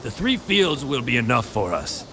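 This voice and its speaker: An actor playing a cautious, stubborn townsman in an audio drama, speaking with emphatic oratory and a gravelly voice. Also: medium pitch, big pitch swings, moderate pace, bright, forceful tone, a little hiss or hum. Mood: insistent, grim. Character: cautious, stubborn townsman urging appeasement and survival.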